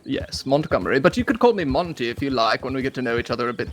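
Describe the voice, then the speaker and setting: A man playing a polite, stranded gentleman traveller who stammers and hesitates, with many fillers and formal phrasing. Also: medium pitch, animated, quick pace, slight background noise, natural voice. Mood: polite, flustered. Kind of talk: role-play in a western game.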